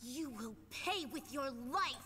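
A woman's voice: high voice, animated, takes it slowly, sharp tone, heavily acted. An actress playing a hot-headed young female assassin who talks in an intense, clipped way and swears, in game audio. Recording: game audio under music.

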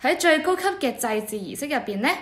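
An eager junior rites official acted by a woman talks in a high voice with a Hong Kong Cantonese accent. The delivery is earnest and deferential scripted radio drama, with a noticeably roomy echo. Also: lively intonation, fast talker, a bit bright. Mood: studious. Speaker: voice actor.

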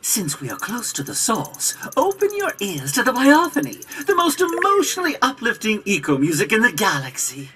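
A high, swooping, excitable little robot guide. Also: female voice, moderate pace, few pauses, exaggerated voice. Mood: earnest, rallying. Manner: theatrical, exclamatory. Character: small floating robot guide, excitable, dramatic, earnest. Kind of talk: video game cutscene acting. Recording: background game music.

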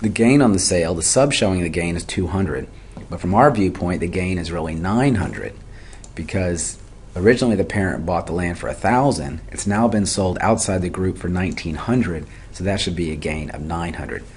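A man's voice: sits low, moderate pace, a little mellow, slight background noise. A man giving an explanatory tutorial lecture as an instructor, methodical and step by step, often posing questions and then answering them. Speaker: accounting instructor.

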